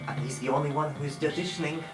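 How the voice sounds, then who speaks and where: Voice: medium pitch, fast talker, recorded from a distance. Conversational roleplay player in echoey room. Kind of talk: improvised in-character dialogue, conversational. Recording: echoey room with music underneath. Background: music.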